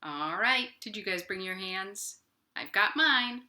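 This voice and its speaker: A woman's voice: medium pitch, animated, takes it slowly, sharp tone. A woman hosting a children's storytime from home, speaking directly to young listeners as she tells stories. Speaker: library storytime host. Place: at home, indoors.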